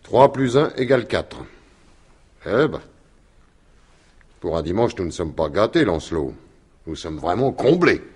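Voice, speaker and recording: French police commissioner, low husky voice, animated swings in pitch, polite, hesitant, probing, radio mystery. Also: male voice, unhurried, pauses often, slight background noise. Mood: wry. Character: dogged, courteous male police commissioner, hesitant but probing. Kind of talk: 1950s-style French radio mystery acting.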